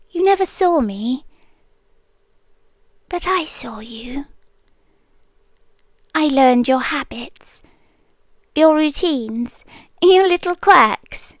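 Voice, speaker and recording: Woman as a high, whispery, possessive British monster girl. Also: dry recording. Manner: slow, hushed, intimate, soothing, often dropping to whispers. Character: centuries-old female gargoyle-like guardian monster, possessive, obsessively devoted. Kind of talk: yandere girlfriend ASMR roleplay. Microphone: close microphone, dry.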